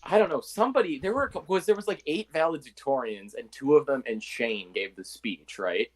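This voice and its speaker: A man's voice: medium pitch, animated, fairly quick, slightly rough. A young American man chatting casually with friends as a podcast co-host. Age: young adult. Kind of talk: casual chat among friends.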